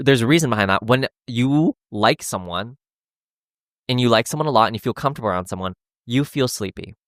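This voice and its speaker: Young guy podcast host just rambling about his love life, lots of likes, mid-pitched voice, dry room. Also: a bit bright, clear, resonant tone, no room sound. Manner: rambling and candid, heavy use of "like", with frequent restarts. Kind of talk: casual chat about dating.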